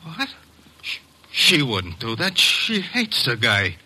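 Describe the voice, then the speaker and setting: Panicky, husky young prizefighter. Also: male voice, medium pitch, huge pitch swings, bright, forceful tone, full character voice. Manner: agitated, halting, slangy. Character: panicky, slow-witted young male prizefighter. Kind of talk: 1940s-50s radio drama acting.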